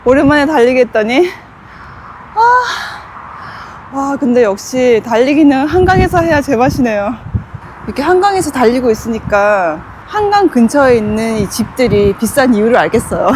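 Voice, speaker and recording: A woman's voice: sits high, sing-song, normal speed, slight background noise. A middle-aged woman from Busan with a Busan dialect accent, chatting casually as a travel vlogger outdoors, exclaiming often. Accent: Busan Gyeongsang dialect. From Busan, South Korea. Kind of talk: casual vlog chat. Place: outdoors by a river and in a convenience store. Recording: background music throughout.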